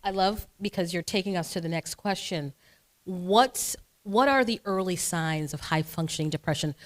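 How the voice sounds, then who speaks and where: A woman's voice: fairly low pitch, moderate pace. Enthusiastic woman host with rambling questions. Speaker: interview host. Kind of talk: interview conversation.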